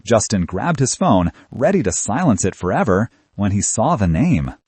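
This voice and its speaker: A man reading a scripted novel aloud in a wry, animated narrator's style. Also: lowish voice, normal speed, breathy edge, dry recording. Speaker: audiobook narrator.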